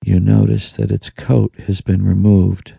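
A man narrating a guided meditation in a soothing, hushed manner with long pauses. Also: fairly low pitch, completely flat delivery, creaky, breathy edge, no room sound. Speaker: audiobook narrator.

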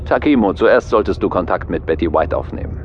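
A man playing a businesslike official who briefs a pilot over a video link in an audio drama, speaking in a brisk, matter-of-fact way. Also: low-pitched, expressive, lo-fi sound, a little hiss or hum. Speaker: planetary commission official.

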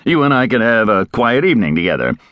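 A man voicing a relaxed, hospitable host who talks plainly. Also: mid-range voice, fast talker, no room sound, pitched above the performer's own voice. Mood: genial. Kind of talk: audiobook character voice.

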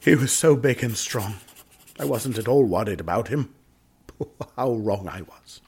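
An anguished gentleman in a radio drama, doomed by a family curse. His voice is husky and mid-pitched, and he speaks in expressive, old-fashioned upper-class phrases. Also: unhurried. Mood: grave, reminiscing.

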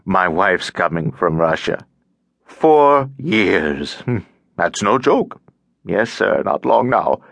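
A man's voice: low-pitched, big pitch swings, slow, gravelly, a bit bright, dry recording, exaggerated voice. A man voicing a cheerful, tiresome, garrulous elderly Russian émigré in an audiobook, droning on with over-familiar chattiness. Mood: cheerful, rambling. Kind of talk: audiobook character acting.

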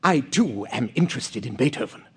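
An actor playing a chatty, well-to-do tourist in an audio drama, affected, pushy and over-polite. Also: male voice, mid-range voice, animated, brisk, a little mellow, slightly rough. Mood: eager, insistent. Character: eager, chatty, well-to-do English gentleman tourist. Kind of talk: dramatized audio play acting.

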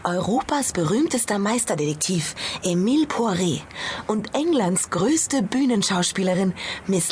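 A woman playing a proud, gossipy and worried hotel owner in a German audio drama, effusive and deferential. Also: medium pitch. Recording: ambient noise behind the voice.

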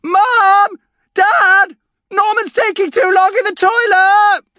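A very high, shrill boy's voice, acted out by an audiobook narrator. He is a whiny younger brother who pesters and nags insistently, in an agitated storybook style. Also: steady tone, takes it slowly, sharp tone, no room sound, full character voice. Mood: indignant, tattling.

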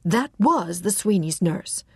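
Audiobook housewife, performed by a woman reader: insistent, easily alarmed, very animated, unhurried pacing. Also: medium pitch, vocal fry, slightly rough, dry recording, studio quality, heavily acted. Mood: urgent, alarmed. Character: excitable, nosy middle-class American housewife, easily alarmed.

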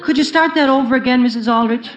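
An indignant, plaintive American teenage boy for old radio comedy. His voice is high and whiny. The sound is dull, with plenty of echo. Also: quick pace, heavy background noise. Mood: hurt, polite. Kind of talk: 1940s radio comedy acting. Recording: dull, echoey vintage radio recording.

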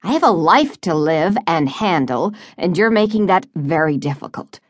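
A woman's voice: low-pitched, animated, slow, dry recording, clean studio sound. A woman voicing a wealthy, impatient grown son with a curt manner in an audiobook. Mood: angry. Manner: curt, exasperated.

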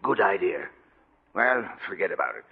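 An actor playing a crusty but kindly old lighthouse keeper, grumbling and blustery, in a radio drama. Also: male voice, deep voice, big pitch swings, moderate pace, creaky, slightly rough, exaggerated voice. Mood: gentle, wry. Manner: grumbling, blustery with folksy sailor talk. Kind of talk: 1940s radio drama acting. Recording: muffled vintage radio broadcast.

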